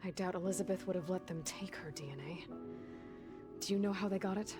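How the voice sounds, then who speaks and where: Actress as young heroine, steady, direct, blunt but caring, video game dialogue, clear music underneath. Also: female voice, lowish voice, natural voice. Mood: probing. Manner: direct, measured questioning. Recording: music behind the voice.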